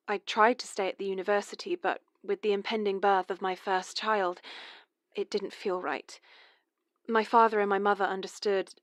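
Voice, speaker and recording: A mother calling in to tell her family's story, halting and confessional, as a woman plays her. Her voice sits mid-range and the sound is dry and close. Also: mid-range voice, no echo, lightly acted. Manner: confessional, hesitant, nervous laughs. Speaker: caller. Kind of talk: horror radio drama monologue.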